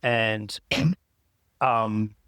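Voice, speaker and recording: A man's voice: fairly low pitch, steady tone, a bit bright, smooth, no room sound. Chatty Australian man, dry close mic. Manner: chatty, quick asides and restarts. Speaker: podcast guest. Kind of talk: casual storytelling chat.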